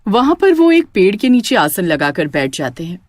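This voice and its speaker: A woman's voice: mid-range voice, big pitch swings, normal speed, a little mellow, no room sound. A woman storyteller giving scripted, dramatic narration of a tale.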